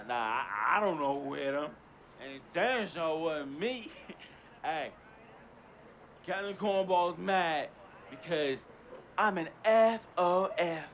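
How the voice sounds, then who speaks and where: A cocky young man talks in slang like a joking troublemaker in a video game. His voice sits fairly high and unhurried, with clear ambient noise behind it. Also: fairly bright tone, heavily acted. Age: young adult. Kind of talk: video game cutscene acting, bragging slangy anecdote. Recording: noticeable background noise, ambient sound.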